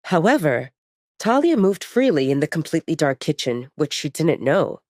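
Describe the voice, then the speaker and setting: A woman's voice: on the low side, a little mellow, dry recording, clean studio sound. A woman reading scripted dramatic fiction as the narrator of an audio story.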